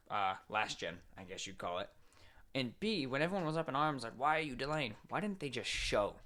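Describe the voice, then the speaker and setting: A man's voice: medium pitch, sharp tone. A man co-hosting a podcast, explaining his opinions in casual, informal banter with some swearing. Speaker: podcast co-host.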